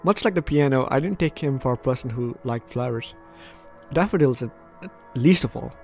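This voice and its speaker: A man's voice: medium pitch, lively intonation, moderate pace, vocal fry, faint music behind. A man hosting a gaming video, reading game text aloud with stumbles and self-interrupting asides.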